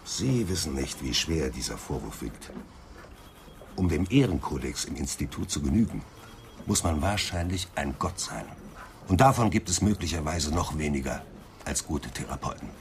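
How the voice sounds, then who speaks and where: A lonely bitter wronged therapist, super deep voice, radio drama, faint noise behind. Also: male voice, expressive, normal speed. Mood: bitter confession. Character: lonely, aggrieved male psychotherapist. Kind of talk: German radio crime drama acting.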